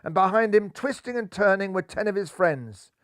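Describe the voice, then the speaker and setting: Older guy reading a kids story, theatrical, fairly high voice, dry close sound, lapel mic. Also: normal speed, dry recording. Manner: lively, theatrical. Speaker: storybook narrator. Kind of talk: expressive children's story reading. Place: living room, seated in armchair.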